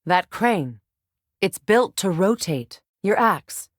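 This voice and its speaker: Stern warrior goddess, middle-aged, mid-pitched, measured formal diction, dramatic game acting, dry close sound. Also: female voice, lively intonation, dry recording. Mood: composed, observant.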